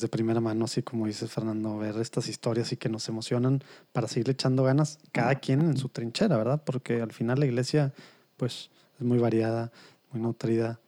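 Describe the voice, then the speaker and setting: A man's voice: mid-range voice, mellow. A man, co-hosting a faith podcast in rambling, light, self-deprecating chat. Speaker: podcast co-host. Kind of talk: casual chat.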